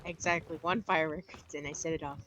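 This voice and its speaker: A woman's voice: deep voice, fairly even intonation, fast talker, fairly bright tone, a little hiss or hum. A woman playing a game with friends, chatting casually in a teasing, theatrical way. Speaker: co-op player. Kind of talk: casual gaming chat.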